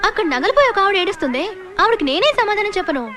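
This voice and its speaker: Earnest policewoman, high-pitched, fast, scolding and pleading, comedy film, prominent background music. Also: very animated. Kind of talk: Telugu film comedy acting.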